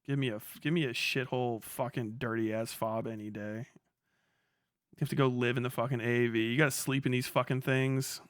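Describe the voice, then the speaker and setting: A man's voice: mid-range voice, a bit bright, smooth, no room sound, studio quality. A man giving casual, off-the-cuff livestream commentary as a military veteran, slangy and full of swearing. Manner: profane, slangy.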